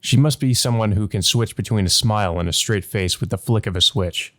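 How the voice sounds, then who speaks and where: A man's voice: fairly low pitch, a little fast, a bit bright. A man narrating a visual novel as a let's play host, reading aloud and adding hesitant commentary of his own.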